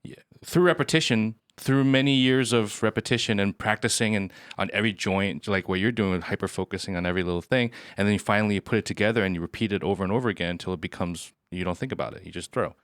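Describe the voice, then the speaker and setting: A man's voice: lowish voice, fast talker, no echo, clean studio sound. A man co-hosting a podcast, telling a story casually and with energy. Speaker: podcast co-host.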